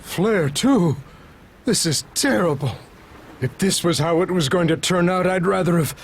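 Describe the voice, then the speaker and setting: A gentle-mannered doctor and anxious father in a video game. His voice sits a little high for a man, and he speaks politely and earnestly, with some hesitation. A faint noise lies behind him. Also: unhurried. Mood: distressed. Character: earnest town doctor and worried father, middle-aged man. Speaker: voice actor. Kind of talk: video game cutscene acting.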